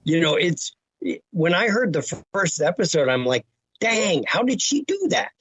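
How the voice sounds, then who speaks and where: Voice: mid-range voice, big pitch swings, moderate pace, a bit bright, breathy edge, no echo. A person speaking casually in a conversational interview.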